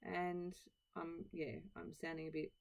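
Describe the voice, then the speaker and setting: A croaky woman narrates her craft video from home, her voice hoarse with a cold. She talks in a low, gravelly register, chatty and a little rambling, poking fun at herself as she goes. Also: flat delivery, unhurried. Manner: chatty, self-deprecating, rambling asides. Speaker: scrapbooking channel host. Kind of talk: casual process-video voiceover.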